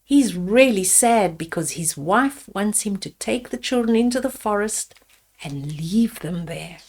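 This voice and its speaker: An older woman reading a bedtime fairy tale aloud to young children, deliberately, with explanatory asides about the pictures. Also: medium pitch, animated, darkish tone.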